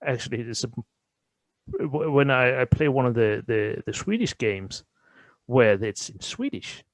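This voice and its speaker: A man's voice: mid-range voice, lively intonation, measured pace, dry recording. A middle-aged man from Denmark with a Danish accent, a native Danish speaker talking English in a casual interview, often repeating words as he searches for phrasing. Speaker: interview guest. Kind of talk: casual conversation.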